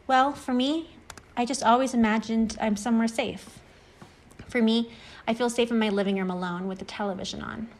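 A woman's voice: on the high side, fairly even intonation, normal speed, close to an everyday voice. A woman playing a reassuring, encouraging, talkative friend in an acted short-story reading. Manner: encouraging, explanatory. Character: reassuring, talkative friend who overcame extreme anxiety.

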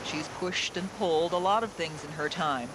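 A man delivers scripted documentary narration in a high voice. Clear vehicle noise runs behind his words. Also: moderate pace.

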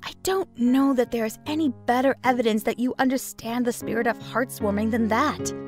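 A woman voicing a kindly, gracious aunt pony in a cartoon comic dub, genteel, sincere and gently teasing. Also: on the high side, a little fast, lightly acted. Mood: heartfelt. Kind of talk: animated comic dub acting. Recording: voice under clear background music.

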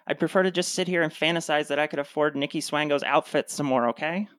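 Jaded girlfriend, actress, deadpan and cutting, recorded close and dry, comic radio play. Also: deep voice, quick pace, dry recording, lightly acted. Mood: bored. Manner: deadpan, sarcastic. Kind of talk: comedic radio play acting.

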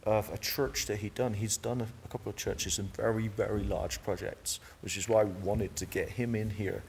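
A man's voice: fairly low pitch, steady tone, moderate pace, a little mellow, in a large hall, slight background noise. A man, a church cofounder, answering interview questions in a casual, rambling way inside a large chapel. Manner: easygoing, rambling, many restarts.

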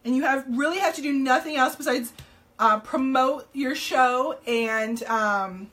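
Chatty, slightly hoarse New woman. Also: sits high, a little slow, fairly bright tone. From New Jersey, US. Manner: chatty, upbeat, many ums, greets viewers. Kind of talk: casual live-stream sales chat. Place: home room, indoors.

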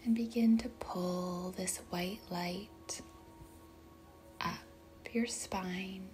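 A woman leading a guided meditation in a soft, breathy, whispery voice, speaking gently and deliberately with long pauses between short phrases. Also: lowish voice, fairly even intonation, soft background music. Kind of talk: guided meditation, slow and soothing narration.

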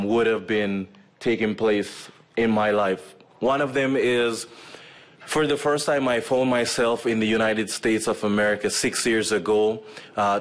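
A Jamaican man in his thirties, a medical missionary, giving a relaxed personal testimony to a church audience from a lectern in an auditorium, with many ums and uhs. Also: lowish voice. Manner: relaxed storytelling with frequent fillers. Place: auditorium stage with curtain backdrop. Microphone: stand mic at a lectern.

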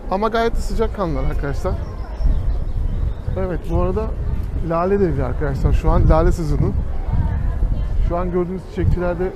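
A man's voice: high voice, fairly quick, long pauses, crowd noise. A man walking through a city and chatting to his viewers, calling them friends as he points things out. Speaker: travel vlogger. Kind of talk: casual travel vlog commentary. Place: outdoors in city streets. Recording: noisy outdoor street recording. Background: street noise and passing vehicles.

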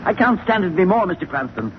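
A man's voice: high-pitched, very animated, quick pace. An actor playing an anxious, earnest man haunted by a stranger, in 1940s radio style. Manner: earnest, worried. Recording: muffled, very noisy old radio recording.

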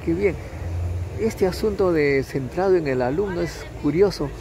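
A male interviewer with a slightly high voice asks questions outdoors. He talks hesitantly with many fillers. Clear street noise runs behind him. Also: normal speed. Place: outdoor university campus. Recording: noisy outdoor street sound.

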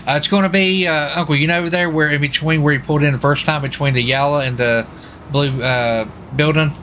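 Bright-voiced man chatting over game noise. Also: on the high side, steady tone, brisk. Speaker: gamer roleplaying a US marshal on stakeout. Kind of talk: casual in-game roleplay chat.

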